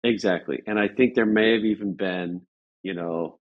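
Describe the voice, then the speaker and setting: A man's voice: on the low side, normal speed, phone-quality sound. A man telling an anecdote as an interview guest, casual and agreeable, often stopping to correct himself.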